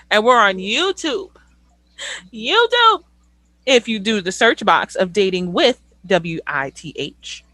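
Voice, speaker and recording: A woman's voice: mid-range voice, sharp tone, dry recording. A woman in her twenties hosting a casual group conversation, blunt and outspoken. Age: 20s. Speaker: host.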